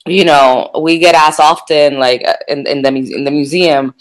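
A woman museum curator speaking on a panel over a video call, halting, with many ums and restarts. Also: deep voice, fairly even intonation. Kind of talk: unscripted panel discussion.

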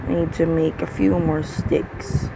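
A man from the Philippines with a Filipino accent, a non-native English speaker, giving casual let's-play commentary in a halting way, with many fillers and restarts. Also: on the high side, little pitch movement, gravelly, noisy background. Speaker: gaming channel host.

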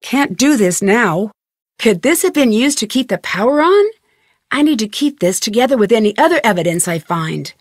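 A woman playing a young, curious and determined amateur detective in a video game, thinking aloud in clearly articulated scripted lines. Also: a little high, very animated, moderate pace, no echo, clean studio sound. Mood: musing, focused.

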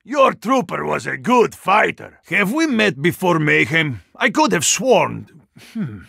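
A male actor playing a huge, earnest, courteous Russian yeti in a video game, with a Russian accent, gravelly voice and formal, stilted phrasing. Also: high voice, big pitch swings, measured pace, a bit bright, dry recording, clean studio sound, full character voice. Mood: earnest, dry. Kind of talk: video game character voice lines.

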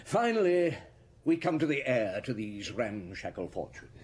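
An actor playing a shrewd, methodical chief inspector in a radio drama, probing and deliberate with a husky voice. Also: male voice, medium pitch, very animated, darkish tone. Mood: methodical, pressing. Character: shrewd, methodical male police chief inspector laying out accusations. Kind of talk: BBC radio drama acting.

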